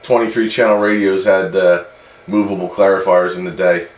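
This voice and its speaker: A man's voice: low voice, fairly even intonation, normal speed. A man, a hobbyist radio operator, chatting casually over two-way radio. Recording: noisy, roomy CB radio recording.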